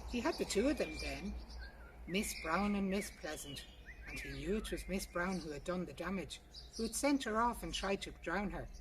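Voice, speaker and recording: A woman's voice: fairly low pitch, recorded from a distance. A woman with an Irish accent narrating a traditional folk tale in a plain-spoken, colloquial way. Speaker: storyteller reading a folk tale. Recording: noisy recording with birdsong behind. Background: birdsong, ambient noise.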